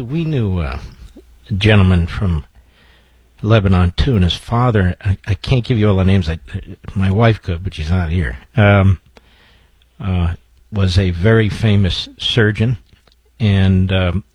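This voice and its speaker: An older man hosting a talk radio show and interviewing a guest with an emphatic, animated delivery. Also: lowish voice, husky, no echo, poor audio.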